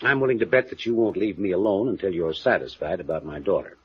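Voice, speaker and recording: A man's voice: low voice, quick pace, slightly rough. A man playing a guarded, well-spoken wealthy father in a 1950s radio noir drama, speaking formally and evasively. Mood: smooth, probing. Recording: muffled vintage radio drama recording.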